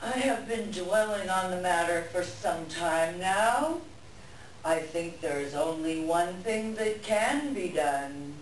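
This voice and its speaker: A wise brown bear, voiced by a stage reader, speaks very deliberately with grave weight. The live theatrical reading sounds distant and echoey, with faint noise behind it. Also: mid-range voice, expressive. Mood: calm, weighty. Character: Brown Bear, wise, solemn elder animal leader. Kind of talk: dramatic reader's theater performance.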